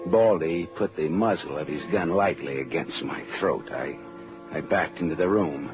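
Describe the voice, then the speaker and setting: An actor with an American accent playing a tough, wisecracking private detective in a clipped, wry 1940s radio noir style. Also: male voice, rumbling low, normal speed. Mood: wry, tense narration. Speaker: lead actor and narrator. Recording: muffled old radio recording with music.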